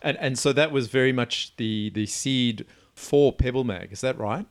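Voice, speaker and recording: A man with a medium-pitched voice co-hosts a podcast. He puts friendly interview questions to a guest. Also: moderate pace.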